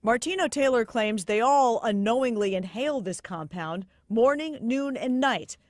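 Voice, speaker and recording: A woman's voice: a little high, animated, moderate pace, a little hiss or hum. A woman with an American accent narrating a scripted investigative television news report.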